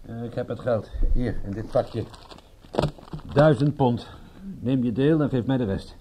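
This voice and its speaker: An actor playing a wry, worried private detective in a radio drama, speaking tersely with dry irony and some hesitation. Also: male voice, mid-range voice, measured pace, dark tone, close to an everyday voice. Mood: brusque. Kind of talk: radio drama acting in Dutch. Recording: mildly noisy radio play recording.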